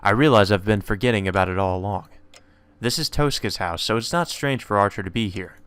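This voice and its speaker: A man's voice: on the low side, brisk, fairly bright tone, clear, resonant tone, dry recording. A man reading visual novel narration aloud as the narrator of a let's play.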